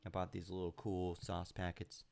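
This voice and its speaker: A congested man with a deep, gravelly voice hosts a loose live stream. He rambles through his chat with plenty of fillers and little chuckles. He speaks into a computer mic with the gain turned up. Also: completely flat delivery, normal speed, very noisy. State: sounds congested. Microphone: home computer mic with high gain.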